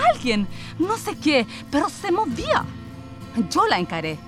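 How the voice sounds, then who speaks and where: Exiled lover back home, radio drama, high voice that swings a lot, earnest storytelling, music under it. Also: female voice. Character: older exiled woman returning home to her lost love. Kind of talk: radio theatre acting.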